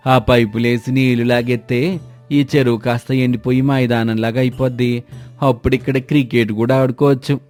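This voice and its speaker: A man voicing a flattering, simple-minded henchman with rustic speech in a moral story. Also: medium pitch, normal speed, faint music behind, natural voice. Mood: fawning. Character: fawning dim-witted male henchman of a contractor.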